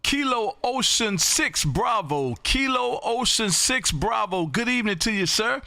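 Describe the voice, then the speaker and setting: A loud man in his fifties from Texas calls out over the air in a high, bright, drawn-out sing-song. A close studio boom mic gives a dry, tight sound. He hosts a live amateur radio stream from his home radio room. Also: lively intonation, gravelly, no room sound. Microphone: studio boom mic, headphones.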